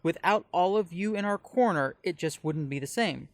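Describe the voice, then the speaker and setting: A man's voice: high-pitched, expressive, moderate pace, no echo. A man reading a scripted welcome and introduction as the host of a podcast.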